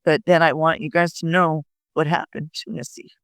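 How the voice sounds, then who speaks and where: A woman's voice: low-pitched, flat delivery, moderate pace, fairly bright tone, no room sound, studio quality. A woman in her fifties giving personal recollections as an interviewee, hesitant and often repeating her words.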